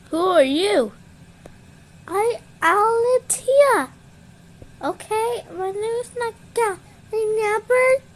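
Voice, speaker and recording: A woman with a very high voice puts on a playful, improvised puppet show. Her delivery is excitable and exclamatory, with big swings in pitch, over some background noise.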